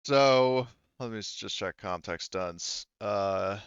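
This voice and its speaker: A man with a smooth, low voice works as game master at a tabletop roleplaying session. He feels his way through explanations in halting, rambling phrases. The recording is dry and a little dull. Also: no room sound.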